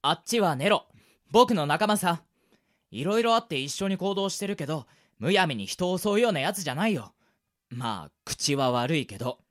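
A woman's voice: lowish voice, normal speed, close to an everyday voice. A woman playing an earnest, pleading young boy prisoner in a radio drama. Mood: anxious, pleading. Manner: expressive, boyish, protesting. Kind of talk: amateur radio drama acting.

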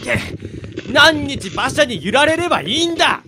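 A woman playing a hot-headed slacker older brother who talks rough and grumbles, in a Japanese fantasy radio drama. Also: high voice, big pitch swings, normal speed, noisy background. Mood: grumbling. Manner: brash, grumbling, casual masculine speech.

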